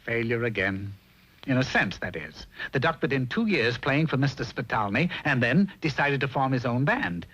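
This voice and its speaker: A man's voice: medium pitch, expressive, normal speed, a bit bright, husky. An American man giving arch, theatrical storybook narration for a 1960s cartoon. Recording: dull, faintly noisy old TV soundtrack.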